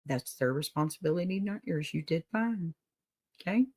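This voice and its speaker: A middle-aged woman with a Texas drawl speaks straight to her livestream viewers, folksy and motherly, calling them honey and y'all. Her voice sits a little low for a woman. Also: lively intonation, normal speed, darkish tone. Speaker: advice show host. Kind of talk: warm encouraging livestream advice talk.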